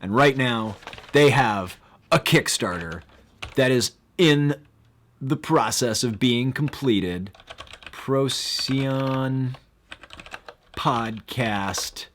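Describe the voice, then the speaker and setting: A young man hosting a casual live stream, rambling with lots of fillers and bursts of excitement. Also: medium pitch, very slow, long pauses, a little hiss or hum.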